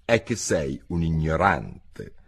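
A man voicing a rude, ill-tempered duchess in a storybook reading, snappish and dismissive, with a husky voice. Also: rumbling low, sing-song, takes it slowly, pitched below the performer's own voice, exaggerated voice. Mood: curt.

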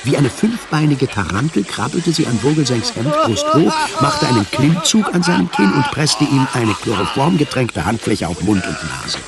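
A man narrates a science fiction radio play in a mid-pitched voice. His delivery is scripted storytelling, with music clearly playing behind him. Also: huge pitch swings, moderate pace, hardly any pauses. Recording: music under the voice.

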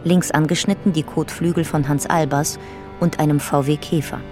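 An actress playing a matter-of-fact narrator in a radio drama, speaking in clipped, factual, detached phrases. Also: female voice, sits low, close to an everyday voice. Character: matter-of-fact narrator cataloguing old photographs. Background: music.

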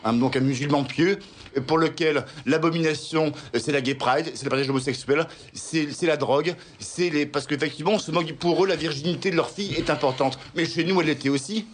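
A man's voice: fairly high pitch, a little fast, a little hiss or hum. A man from the Paris suburbs with a French accent, making a spontaneous, digressive argument in a studio discussion. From Paris suburbs, France. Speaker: panel guest. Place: radio studio.

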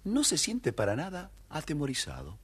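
A man narrating a children's fairytale theatrically in a husky voice. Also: wildly swooping pitch, normal speed. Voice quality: husky, deep. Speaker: storyteller, narrator.

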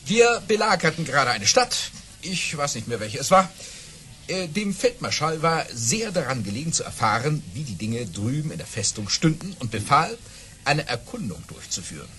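Boastful baron, wildly animated storyteller. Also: male voice, a little high, moderate pace. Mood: grand, dramatic. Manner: grandiose, dramatic, amused by his own boasts. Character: boastful baron and cavalry officer telling tall tales. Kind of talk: theatrical radio play storytelling.

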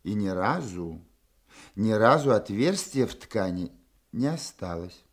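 An actor playing an authoritative older police colonel in a radio drama, explaining firmly and with a slightly wry edge. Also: male voice, on the low side, big pitch swings, a little slow. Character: authoritative veteran male police colonel, exacting boss. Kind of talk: Russian radio drama acting.

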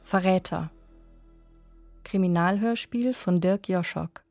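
Slow, lower-voiced woman announcer. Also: darkish tone, soft background music. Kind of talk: slow scripted title announcement.

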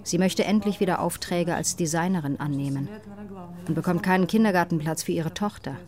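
A woman from Russia narrating a documentary in calm, even storytelling. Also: fairly low pitch, a little fast.

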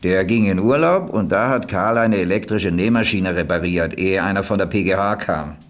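An actor playing a plain-spoken boiler stoker who reminisces in a folksy, reflective way in a radio crime drama. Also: male voice, low-pitched, normal speed, lightly acted. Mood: explaining, admiring. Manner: folksy, ruminative storytelling.

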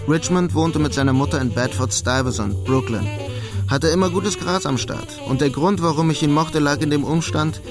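An actor playing a melancholy young German emigrant painter in New York, understated and wry, in a radio drama. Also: male voice, fairly high pitch, moderate pace, music in the background, natural voice. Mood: brooding. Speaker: protagonist and narrator of a radio crime drama. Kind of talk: German radio drama, introspective narration and dialogue.

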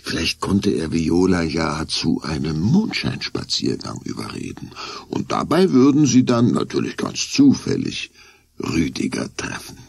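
A man's voice: low-pitched, creaky, husky, no echo, heavily acted. A man narrating a scripted children's radio play with suspenseful storytelling.

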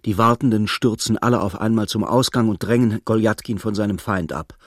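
An actor narrating a literary radio play as a wry storyteller, telling events vividly in the present tense. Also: male voice, lowish voice, fairly quick, dry recording, clean studio sound, natural voice. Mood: wry, measured. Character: wry, measured male storyteller of a literary tale. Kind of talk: scripted dramatic narration in a German radio play.

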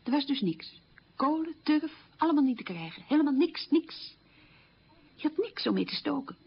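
An actress playing a reflective middle-aged woman recalling wartime childhood hunger in a halting, emphatic radio monologue, with a husky, creaky voice. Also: high voice, very animated, exaggerated voice. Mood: wistful, emphatic. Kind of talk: radio play monologue, conversational reminiscence. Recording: dull lo-fi radio recording.

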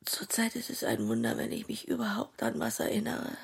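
A frank, giggly middle-aged mother in a naturalistic radio play. Her husky voice swings freely up and down with a bit of fry. She talks confessionally and makes fun of herself. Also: mid-range voice, very animated, a little fast, exaggerated voice. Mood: self-mocking. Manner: confessional, self-mocking.

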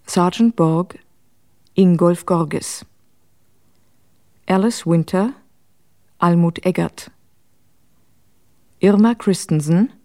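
A woman's voice: lowish voice, mellow. A woman announcer reading scripted radio drama credits in a formal, factual manner.

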